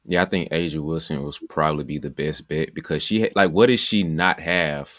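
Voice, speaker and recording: Man, podcast host; very deep voice, dry sound, casual rambling questioner. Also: a little fast, fairly bright tone, dry recording. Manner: rambling, frequent "like". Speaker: podcast host, basketball trainer.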